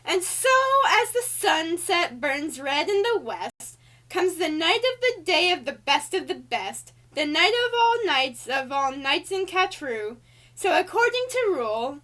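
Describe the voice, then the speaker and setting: A very high-pitched cartoon angel lady, played by a woman, reads a children's storybook aloud. Her delivery is theatrical and sing-song, swooping widely through the rhymes and leaning hard on key words. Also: a bit bright, heavily acted. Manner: theatrical, exaggerated emphasis, showy. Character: Alice Angel, female cartoon angel reading a bouncy birthday storybook.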